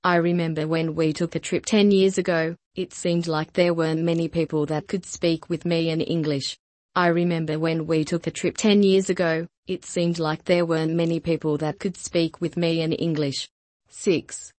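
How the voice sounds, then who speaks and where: A woman reading short sentences aloud for a repeat-after-me language lesson, slowly and evenly, each one said several times. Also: sits low, level tone, darkish tone, no echo. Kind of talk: scripted repeat-after-me drill.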